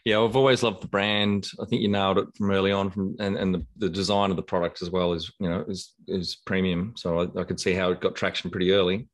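A middle-aged man hosting a podcast interview, relaxed and halting, often restarting his sentences. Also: sits low. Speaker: podcast host. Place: bright room with windows. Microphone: video call webcam.

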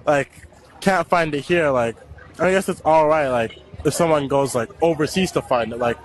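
A man's voice: on the high side, moderate pace. Hesitant young man on a street. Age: young adult. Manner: hesitant, many fillers. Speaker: interviewee. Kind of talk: casual street interview answer. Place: city street at night. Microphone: handheld mic.

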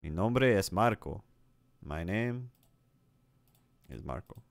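Dude w a really deep smooth voice reading spanish slowly off an app, dry close mic. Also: male voice, expressive, dry recording. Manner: repeats app sentences aloud, chuckling, self-correcting. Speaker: streamer learning Spanish. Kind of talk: casual commentary while playing a language app.

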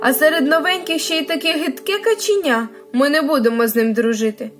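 Woman as a scornful high-voiced farmyard bird. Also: brisk, music in the background, natural voice. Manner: scornful, complaining. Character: unwelcoming, jeering farmyard birds, crowded and scornful. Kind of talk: children's storybook character voice.

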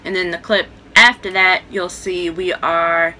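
A woman's voice: lowish voice, fairly even intonation, a little slow, sharp tone. A young woman vlogging to her phone held at arm's length, chatty and upbeat. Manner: chatty, upbeat, talks straight to a handheld phone. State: hoarse, losing her voice. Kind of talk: casual vlog chat to camera. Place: hotel rooms, restaurant and mall, indoors. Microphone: phone camera held at arm's length. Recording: phone video with crowd chatter and room noise.

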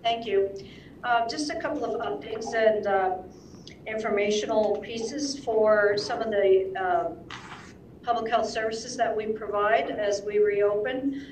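A woman's voice: medium pitch, darkish tone, a little hiss or hum. Woman health official, distant and echoey. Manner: halting, many ums and uhs. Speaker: public health director. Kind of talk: reading public announcements. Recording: echoey room, heard from far off.

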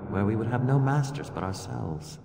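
Echoing, proud ghost warrior. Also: male voice, medium pitch, expressive, moderate pace, vocal fry. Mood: embittered. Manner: solemn, earnest. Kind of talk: fantasy video game acting. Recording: echoey hall, noisy game ambience.

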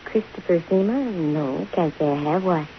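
Low-voiced, wry actress as a lady private eye. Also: moderate pace, lightly acted. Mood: brisk. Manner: dry, wisecracking. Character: wry, bold female private investigator. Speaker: lead actress and first-person narrator of a detective radio show. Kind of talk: 1950s radio detective drama acting. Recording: hissy, muffled old radio recording.

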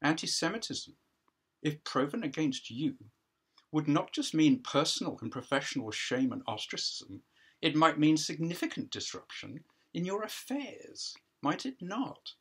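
A man's voice: on the high side, very animated, a little slow, fairly bright tone, slightly rough, exaggerated voice. An older man voicing a pompous prosecuting counsel in a stage play, with formal, sarcastic, insistent questioning. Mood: insinuating. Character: pompous, needling male prosecuting counsel at disciplinary hearing.

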